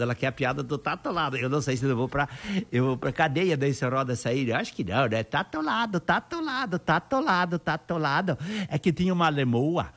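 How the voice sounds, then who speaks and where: A man's voice: fairly high pitch, fairly bright tone. A man hosting a casual radio show, greeting listeners and telling jokes with frequent laughter. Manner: jokey, chatty, laughs often. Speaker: radio host. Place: radio studio.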